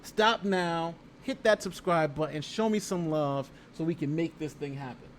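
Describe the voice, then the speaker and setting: American man, fairly high voice, livestream host, conversational legal commentary, reading aloud with asides, faint background noise. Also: moderate pace. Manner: conversational, animated asides and rhetorical questions. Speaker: YouTube host and lawyer reading a lawsuit.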